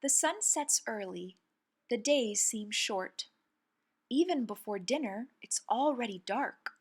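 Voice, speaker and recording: Animated woman reading a children's picture book. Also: on the high side, slow pace. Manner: animated, clearly phrased delivery for young children. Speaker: children's story reader and host. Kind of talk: expressive picture-book read-aloud.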